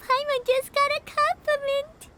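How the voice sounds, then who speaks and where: A woman voicing a tiny, cheerful, excitable girlish fairy companion in a video game, with a squeaky childlike voice and a perky manner. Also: unhurried. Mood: excited. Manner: perky, speaks of self in third person. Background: music.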